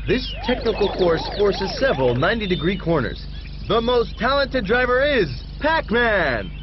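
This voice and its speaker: A man playing an excited, showy race announcer in an arcade racing game, drawing out names for emphasis. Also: sits high, moderate pace, noisy background. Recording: muffled lo-fi game audio under loud music. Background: loud game music.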